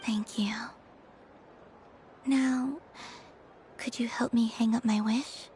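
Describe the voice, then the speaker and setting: A woman playing a serene, dreamy young maiden in a video game, soft and gentle, matter-of-fact even when teasing. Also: on the high side, slow, a little hiss or hum. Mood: gentle, hopeful. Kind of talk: dramatic video game voice acting. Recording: music behind the voice. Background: music.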